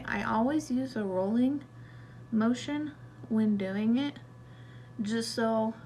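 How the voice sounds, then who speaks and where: A young woman walking through a makeup tutorial in a relaxed, chatty way, with loose fillers as she goes. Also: a little high, slight background noise. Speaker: beauty vlogger. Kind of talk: casual makeup tutorial narration.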